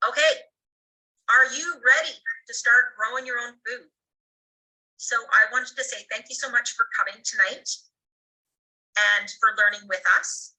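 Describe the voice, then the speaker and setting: Bright, forceful woman giving a sales presentation. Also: on the high side, steady tone, measured pace, long pauses, rough recording. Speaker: presenter selling garden systems.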